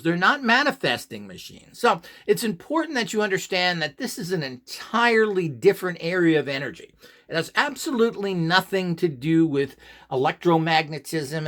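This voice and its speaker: Male instructor with a slightly high, highly animated voice; dismissive, ranting delivery. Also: moderate pace. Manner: scornful, ranting, full of rhetorical questions. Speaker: radionics instructor. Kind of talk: opinionated instructional monologue.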